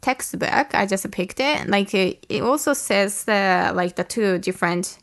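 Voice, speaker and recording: Gravelly-voiced Japanese woman, hesitant. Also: medium pitch, measured pace. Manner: hesitant, searching for words, many fillers. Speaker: native-speaker guest. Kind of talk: casual chat.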